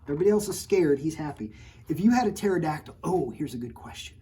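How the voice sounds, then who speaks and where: Playful man reading to kids, mellow voice. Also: on the high side, expressive, quick pace, breathy edge. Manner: playful, jokey, mock-outraged, talking straight to children. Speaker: children's author reading his own book. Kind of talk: storytime read-aloud with playful asides to kids.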